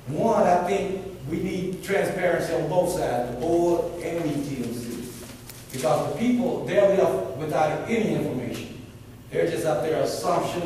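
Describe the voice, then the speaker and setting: A man's voice: medium pitch, darkish tone, a little hiss or hum. A man with a big voice, making an earnest, rambling public comment at a board meeting, with preacher-like appeals. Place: large echoey meeting hall. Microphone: room mic from a distance. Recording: echoey hall from far off.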